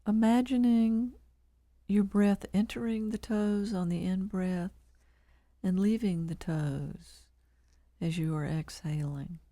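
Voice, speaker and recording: A woman leading a guided body scan meditation, calm and measured, leaving long pauses between short phrases. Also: mid-range voice, no room sound.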